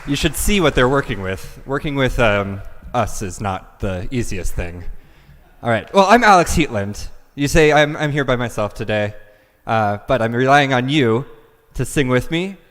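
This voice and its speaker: A man's voice: mid-range voice, animated, moderate pace, fairly bright tone. A man leading the singing at a church service, making casual, rambling announcements. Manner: chatty, loose, rambling. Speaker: song leader. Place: large church sanctuary. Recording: reverberant hall.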